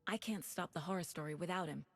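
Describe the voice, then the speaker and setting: An actress playing a troubled, determined woman detective in a video game, thinking aloud in an intense, deliberate way. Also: lowish voice, breathy edge, no room sound, faint music behind. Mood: urgent, questioning. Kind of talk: dramatic video game voice acting.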